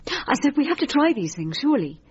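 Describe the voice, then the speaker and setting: An actress playing an anxious, hesitant female therapy patient in a radio drama, speaking in a halting, nervy, searching way. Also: high-pitched, big pitch swings, highs cut off. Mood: anxious, confessing. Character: anxious, hesitant woman patient, a panicky mother.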